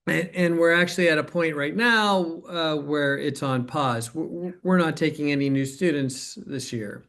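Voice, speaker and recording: A man's voice: fairly high pitch, normal speed, fairly bright tone. A man acting a hesitant, earnest program director. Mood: earnest, concerned. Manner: hesitant, stammering starts. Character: earnest program director named Bob, near retirement, appreciative. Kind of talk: dramatized dialogue, natural conversation.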